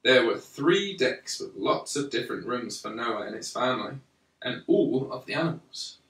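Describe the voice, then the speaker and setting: A man reading a children's story aloud in a measured, deliberate way, pausing between phrases. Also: mid-range voice, expressive, a bit bright. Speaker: storyteller reading a Bible picture book to a young child. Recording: roomy, echoey, slightly distant microphone.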